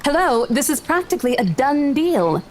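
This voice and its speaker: A saleswoman from a visual novel game, high-voiced and very animated. She is brash and teasing, the senior colleague who pushes people to have fun, with a playful theatrical flourish. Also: normal speed, slight background noise. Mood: breezy, coaxing.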